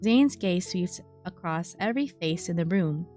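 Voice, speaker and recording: A woman's voice: fairly low pitch, expressive, moderate pace, mellow. A woman streamer playing a story game, reading its text aloud and adding casual commentary. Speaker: streamer, VTuber. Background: game music.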